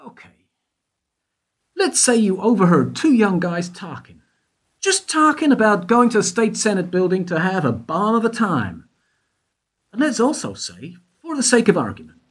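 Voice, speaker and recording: A man's voice: high-pitched, breathy edge, heavily acted. An actor playing a persistent male investigator who needles a witness with questions in a radio play. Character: probing male detective questioning a guard. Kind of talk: amateur radio play acting.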